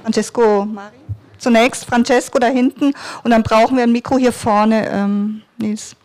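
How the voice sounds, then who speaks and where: A woman's voice: fairly high pitch, fairly even intonation, normal speed, darkish tone. A woman moderating a panel discussion, politely directing the audience, on a handheld mic in a hall. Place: conference hall.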